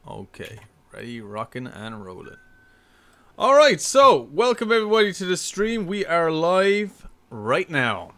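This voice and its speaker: A man's voice: fairly high pitch, sing-song, a little slow, a bit bright. A man hosting a casual live-streamed podcast, upbeat, greeting viewers and reading out chat names. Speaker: podcast host.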